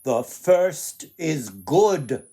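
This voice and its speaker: Man as solemn, slow-spoken sun. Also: on the high side, sing-song, warm tone, exaggerated voice. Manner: slow, deliberate, emphatic pronouncement. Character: the sun, a solemn, stately judge of beauty.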